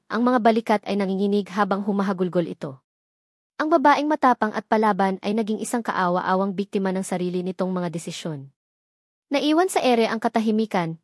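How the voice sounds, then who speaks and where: Woman narrator, mid-pitched, steady dramatic reading, dry close sound. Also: a little fast, no echo. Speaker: storyteller. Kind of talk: scripted narration.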